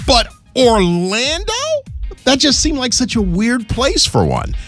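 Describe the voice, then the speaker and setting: A man's voice: very high voice, unhurried, faint music behind. A man co-hosting a morning radio show in a radio studio, chatting casually and reading traffic. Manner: chatty, animated, reads traffic reports.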